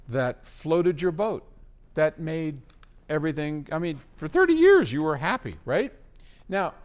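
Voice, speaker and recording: A man hosting a question-and-answer talk, speaking in an informal, conversational way as he gives advice. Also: fairly high pitch. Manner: conversational, informal, with frequent throat clearing. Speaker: host answering listener questions.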